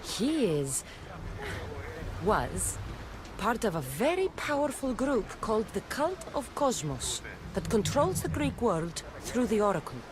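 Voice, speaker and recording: A woman's voice: medium pitch, big pitch swings, a little slow, background noise. An actress playing a determined young female warrior who talks directly and dryly, over game music.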